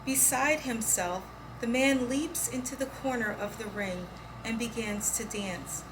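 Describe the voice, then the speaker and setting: Female poetry reader, fairly high voice, clear background noise on the recording. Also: unhurried. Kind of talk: poetry reading aloud.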